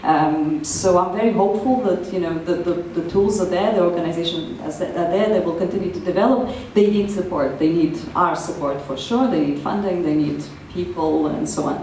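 A woman answering as a panel speaker in a measured, earnest way, with pauses to find her words. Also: fairly low pitch, mellow. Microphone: handheld microphone, heard from far camera. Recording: echoey theatre, distant, faint noise.

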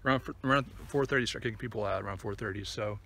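A man in his thirties chatting casually and a bit haltingly to the camera as he walks a trail outdoors. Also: lowish voice, sharp tone. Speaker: hiking vlogger. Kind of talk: casual vlog chat to camera while walking. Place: outdoors on a wooded trail and open meadow. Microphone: phone or camera at arm's length. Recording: outdoor recording with clear ambient noise.

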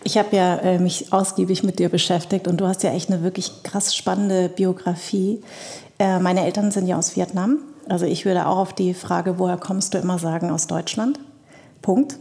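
A woman's voice: on the low side, flat delivery, normal speed, creaky, warm tone. A woman in her forties from Germany with a light Hessian accent, hosting an interview podcast and asking questions thoughtfully. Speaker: podcast host. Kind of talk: scripted intro, then interview.

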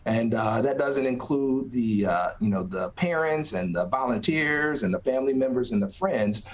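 A man's voice: mid-range voice, expressive, normal speed. A middle-aged man, a nonprofit director, answering interview questions conversationally over a video call from an office. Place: small office, indoors. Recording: slightly dull video-call audio.

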